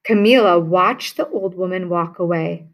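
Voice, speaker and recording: A woman reading a children's picture book aloud in a lively, expressive way. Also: fairly low pitch, normal speed. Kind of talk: read-aloud storybook narration.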